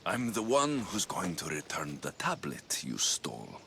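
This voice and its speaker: A man's voice: low-pitched, wildly swooping pitch, measured pace, vocal fry, pushed and bright, a little hiss or hum, heavily acted. A man playing a tough, wry ancient Greek mercenary in video game cutscenes, speaking bluntly with dry menace. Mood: firm.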